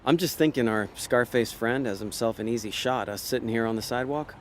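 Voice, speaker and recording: A man's voice: medium pitch, brisk, a little hiss or hum, natural voice. An actor playing a laid-back con man who narrates a noir audio drama in a wry, slangy, hard-boiled way. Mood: teasing. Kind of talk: noir audio drama acting with inner monologue.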